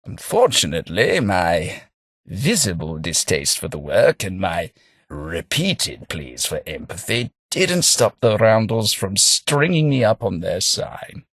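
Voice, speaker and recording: An actor playing a sardonic, well-spoken tithe collector in a video game, with a husky, understated delivery and dry humour. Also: male voice, sits low, big pitch swings, slow, fairly bright tone, dry recording, heavily acted. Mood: measured. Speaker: game companion character. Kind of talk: game voice lines.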